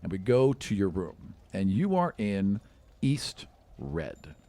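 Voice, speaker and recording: A man's voice: low voice, sing-song, takes it slowly, warm tone. A man improvising narration as game master of a tabletop fantasy game, descriptive and conversational, often searching for words.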